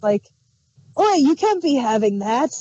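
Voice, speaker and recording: A woman's voice: high voice, sing-song, measured pace, mellow, muffled recording. A woman co-hosting a review show in casual, conversational talk. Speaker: podcast co-host reviewing audio dramas. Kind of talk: casual chat.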